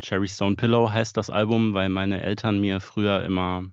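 A man's voice: on the low side, level tone, normal speed, highs cut off. A man talking casually and reflectively about his music in an interview. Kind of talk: relaxed interview talk.

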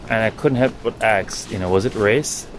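Jamaican man telling stories slowly. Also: fairly low pitch, slight background noise, rough recording. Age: adult. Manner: measured, thoughtful, pauses mid-sentence. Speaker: writer and guest. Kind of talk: reflective storytelling.

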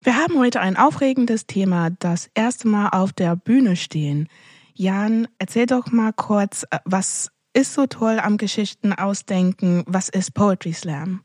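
A woman's voice: medium pitch, measured pace, a little mellow, no room sound. A woman hosting a podcast, asking questions in a conversational interview style. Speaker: podcast host.

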